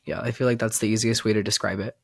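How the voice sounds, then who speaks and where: A man's voice: fairly low pitch, steady tone, fast talker, gravelly, slightly rough, no room sound. Rambling man, dry close sound. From born in Cuba, raised in Miami, Florida. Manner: rambling, full of "like" and restarts. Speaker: podcast guest. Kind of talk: casual podcast chat.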